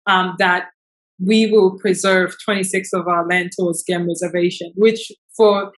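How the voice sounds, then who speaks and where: A woman's voice: fairly low pitch, fairly even intonation, measured pace. A young woman from Tanzania, a business founder, talking casually in an interview with many fillers and restarts.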